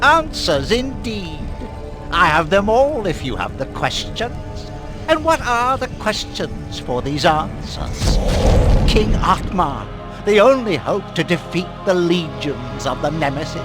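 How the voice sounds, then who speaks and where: An actor playing a cryptic, eccentric oracle in a game, mocking and theatrical, speaking in sing-song riddles. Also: male voice, high voice, very animated, slow pace, exaggerated voice. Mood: sly, amused. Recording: music under the voice.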